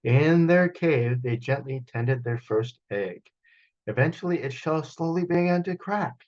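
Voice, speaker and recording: A man reading a children's picture book aloud as a storyteller, in an expressive manner, over a video call. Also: mid-range voice, a little slow, dull audio. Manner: expressive, acts out sound effects.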